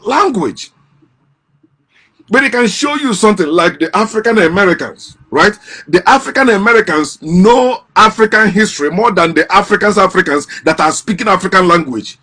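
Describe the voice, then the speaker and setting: Impassioned man, fairly high voice. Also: a bit bright. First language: Igbo. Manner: impassioned, repeats and restarts phrases. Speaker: host. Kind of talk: casual monologue.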